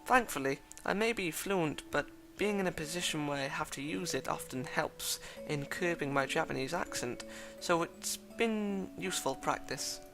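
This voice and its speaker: A man reading as a gentle, polite blind young woman, speaking softly and courteously in a measured way over faint music. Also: a little high, lively intonation, vocal fry.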